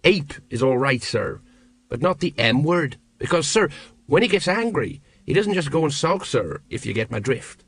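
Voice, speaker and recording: A man's voice: a little high, big pitch swings, normal speed, a bit bright, faint music behind. A man voicing an anxious, deferential middle-aged sergeant, hesitant and flustered. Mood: urgent, concerned.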